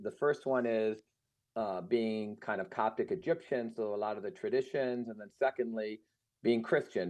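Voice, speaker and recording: A man's voice: on the low side, darkish tone. A middle-aged man raised in the United States, talking casually and thoughtfully with frequent fillers. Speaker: guest. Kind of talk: casual conversation. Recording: muffled lo-fi sound.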